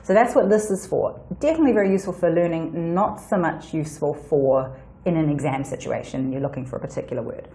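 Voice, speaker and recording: A woman's voice: deep voice, quick pace, warm tone, slight background noise. A woman hosting a solo educational podcast, explaining things in a chatty way with fillers and restarts. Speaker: podcast host.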